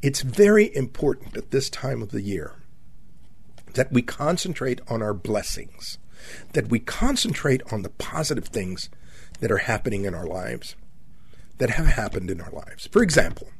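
A man in his seventies hosting a conservative radio talk show, folksy and halting, full of fillers and repeated words and often calling his listeners "my friends". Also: medium pitch, very animated, vocal fry, breathy edge. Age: 70s.

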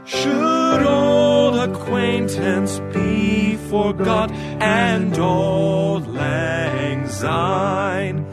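A woman singing a slow, sentimental song. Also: medium pitch, loud music behind the voice. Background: prominent musical accompaniment.

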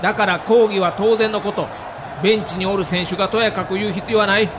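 A stern middle-aged baseball manager, acted by a man, scolds and protests with formal authority. The voice is high and declarative, in audio-drama style, over clearly audible noise. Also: fairly even intonation, brisk, a crowd in the background, lightly acted. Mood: stern, angry. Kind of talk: dramatized documentary dialogue.

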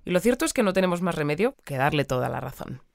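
An actress playing a composed radio newsreader in an audio fiction, reading scripted news in a measured, polite, deadpan way. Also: female voice, sits low, vocal fry, no room sound, studio quality, exaggerated voice. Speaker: news presenter.

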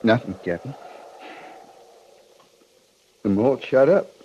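A man acting a weak, wounded young soldier in a 1950s radio western, resigned and halting, with an American accent. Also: mid-range voice, lively intonation, natural voice. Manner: halting, plain folksy speech. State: weak, fading. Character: dying wounded cavalry private, weakly stoic and resigned. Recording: dull noisy 1950s radio recording.

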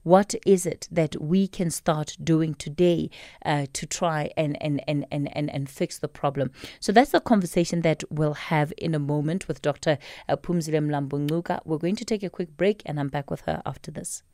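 Woman, South African accent, radio talk host, lower-pitched, measured and emphatic, close dry studio sound. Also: dark tone, no room sound. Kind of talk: live radio talk and interview.

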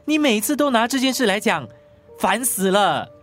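A woman's voice: a little high, big pitch swings, normal speed, soft background music. A woman acting a defensive, irritable spouse in a radio drama, curt and exasperated in manner. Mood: irritated, defensive. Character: irritable, defensive, evasive spouse who flees arguments.

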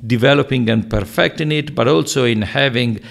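A man's voice: medium pitch, brisk, vocal fry. A man from Tuscany with a Tuscan Italian accent, co-hosting a podcast in an earnest, emphatic way. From Tuscany, Italy. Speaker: podcast co-host. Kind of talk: podcast conversation.

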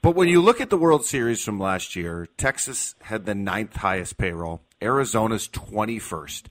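A man's voice: fairly low pitch, animated, moderate pace, fairly bright tone. A man hosting a sports radio show, putting opinionated questions to a guest in conversational talk.

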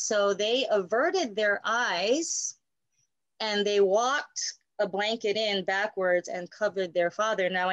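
A woman's voice: medium pitch, a bit bright, dry recording, muffled recording. A woman pastor co-hosting a Bible study, explaining conversationally and often checking in with "right?".

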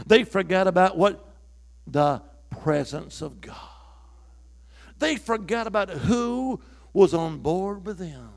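A man's voice: on the high side, gravelly. A man preaching a sermon to a church, calling out to the congregation for responses and building to emphatic peaks. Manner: call-and-response preaching, rising to emphatic shouts.

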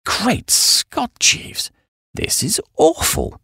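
A man voicing a flighty young upper-class English gentleman, breezy and exclamatory, with a posh British accent. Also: very high-pitched, huge pitch swings, very slow, vocal fry, slightly rough, no room sound, studio quality, pitched above the performer's own voice, exaggerated voice. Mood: alarmed, dismayed.

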